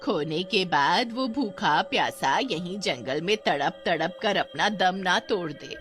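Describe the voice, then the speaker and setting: A woman's voice: on the low side, expressive, a little slow, a bit bright, faint music behind. A woman voicing a sly old female cook in a cartoon, coaxing and sweet-talking with stretched words.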